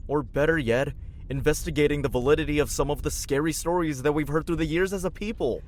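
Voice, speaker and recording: A man's voice: a little high, fast talker, darkish tone, a little hiss or hum, natural voice. A young man playing a sarcastic, resentful grown son in an audio drama. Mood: frustrated, defensive. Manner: sarcastic, sullen.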